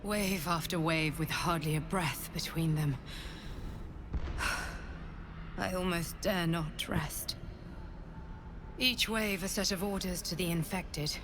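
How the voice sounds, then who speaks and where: A woman playing a weary, solemn psychic guardian in a video game, grave and earnest with a hushed, breathy edge. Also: fairly low pitch, steady tone, unhurried, pauses often, noisy background, lightly acted. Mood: weary, strained. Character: weary, solemn psychic female guardian bearing a heavy burden. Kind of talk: dramatic video game acting.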